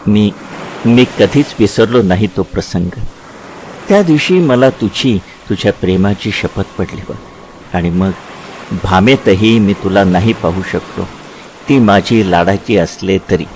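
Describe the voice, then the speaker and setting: A man playing Krishna as a tender, reflective lover looking back in a dramatized literary dialogue, speaking with halting repetitions. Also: on the low side, darkish tone, slight background noise, close to an everyday voice, low-quality recording. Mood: tender reminiscing.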